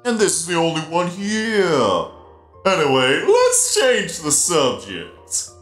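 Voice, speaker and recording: Performer as polite animatronic DJ, slow and theatrical, very animated intonation, echoey sound. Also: mid-range voice, full character voice. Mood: flustered, defensive. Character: friendly, flustered music-loving animatronic DJ robot. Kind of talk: comic dub acting. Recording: echoey, faint music behind.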